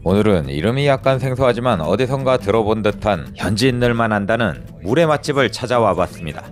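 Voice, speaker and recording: A man's voice: fairly low pitch, lively intonation, fast. A man hosting a food review vlog with scripted voice-over narration. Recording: loud music behind the voice. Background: music.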